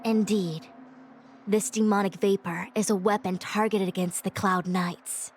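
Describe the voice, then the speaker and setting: A woman playing a composed, self-assured young diviner and military strategist in a video game, speaking formally and with measured authority. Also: medium pitch, steady tone, slight background noise, lightly acted. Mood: grave, expository. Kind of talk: scripted video game voice acting. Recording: loud music under the voice.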